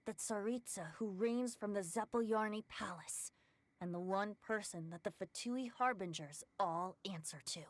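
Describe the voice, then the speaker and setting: A woman playing a composed, formal character in a video game, speaking in a measured way. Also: mid-range voice, no echo, lightly acted. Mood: solemn. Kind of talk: scripted video game voice acting.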